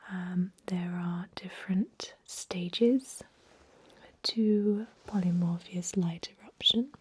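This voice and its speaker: A woman playing a thousand-year-old vampire medic in an ASMR role-play, whispering breathily in a soothing, unhurried manner with murmured hums. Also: fairly low pitch, a little mellow, breathy edge, exaggerated voice.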